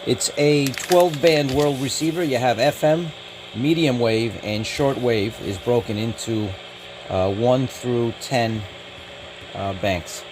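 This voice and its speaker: A man with an average-pitched voice talks through a casual product review. He is matter-of-fact and tends to itemize in short, clipped phrases. The home-made recording has clearly audible noise behind him. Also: slow. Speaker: radio hobbyist reviewer. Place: indoors.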